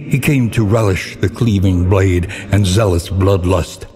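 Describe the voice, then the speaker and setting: Grim gothic game narrator: deep, slow and solemn, theatrical weight on each phrase, in a cavernous reverb. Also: male voice, steady tone, a little mellow. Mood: somber storytelling. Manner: grave, solemn, theatrical, measured delivery. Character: grim, ominous male narrator of a dark fantasy game. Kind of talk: dramatic scripted gothic fantasy narration. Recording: long cavernous reverb, faint background noise.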